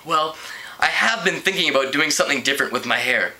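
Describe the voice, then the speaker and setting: A man's voice: fairly high pitch, animated, brisk, pushed and bright, full character voice, low-quality recording. A man voicing an earnest, indignant teenage schoolgirl with a pointed way of talking, reading from a storybook. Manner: pointed, honest.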